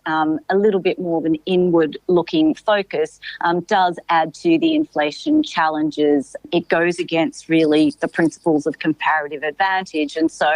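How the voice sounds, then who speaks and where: A woman giving measured, analytical explanations as an economic commentator in a radio discussion. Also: sits low, no room sound, rough recording.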